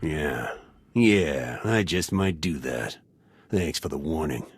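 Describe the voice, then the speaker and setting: An actor playing a suspicious, hot-headed military captain in a video game, blunt and commanding. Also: male voice, very deep voice, expressive, slow, slightly rough, soft background music, exaggerated voice. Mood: indignant. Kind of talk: video game character acting.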